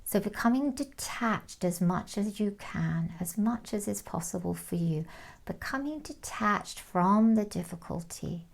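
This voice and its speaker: A woman leading a guided meditation in a calm, soothing, unhurried manner, with soft phrase endings and long pauses. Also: mid-range voice, vocal fry. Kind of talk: guided meditation, gentle instruction.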